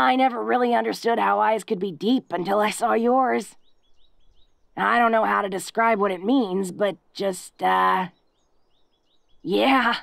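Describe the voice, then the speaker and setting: A woman playing a bashful, slow-talking orc girlfriend. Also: medium pitch, gravelly, dry recording, clean studio sound. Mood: bashful, adoring. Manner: awkward, halting, self-deprecating, with soft chuckles. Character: tough, big, bashful, sweetly devoted orc girlfriend. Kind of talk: intimate romantic audio roleplay.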